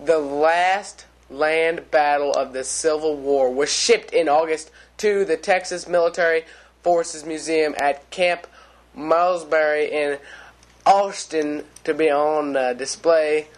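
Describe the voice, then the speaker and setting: A young man reads the stories of a mock newscast at a quick clip, sometimes stumbling over words. His voice sits somewhat high. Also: fairly even intonation. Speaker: mock news co-anchor.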